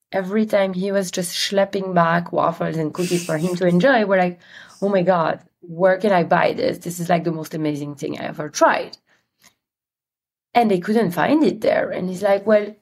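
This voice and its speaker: A woman with a Belgian accent, a guest in an interview, talking in a friendly storytelling way with frequent pauses. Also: fairly low pitch, a little mellow. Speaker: guest, company CEO. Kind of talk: interview conversation.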